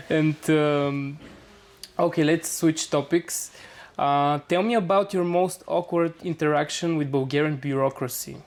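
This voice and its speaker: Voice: medium pitch, takes it slowly, darkish tone. A host of a student interview show, upbeat and reading questions from a script.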